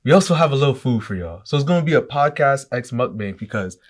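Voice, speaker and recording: A young man hosting a casual podcast, talking in relaxed slangy banter into a mic. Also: medium pitch, quick pace. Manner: laid-back slangy banter. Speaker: podcast host. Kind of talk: casual chat.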